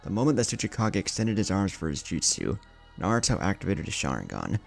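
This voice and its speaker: A man's voice: low voice, huge pitch swings, fairly quick, creaky, soft background music. A man narrating an anime fan story in a dramatic scripted style.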